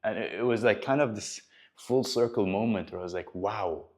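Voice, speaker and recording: Young man, very deep voice, earnest motivational talk to camera, personal trainer, fillers and restarts. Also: moderate pace. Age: 20s. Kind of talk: talking to camera about his goals.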